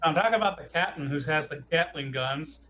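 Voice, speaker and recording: A man's voice: fairly high pitch, fairly quick, sharp tone, slight background noise, low-quality recording. A man chatting casually as a player in a tabletop roleplaying game.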